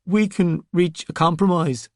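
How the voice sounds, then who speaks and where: A man's voice: sits high, steady tone, a little slow, dry recording, pitched above the performer's own voice. A smug, scheming zombie with a reedy, raised voice, vain and a little peevish, bargaining from a dry, close recording, as a male narrator puts him on. He preens, wheedles and snaps.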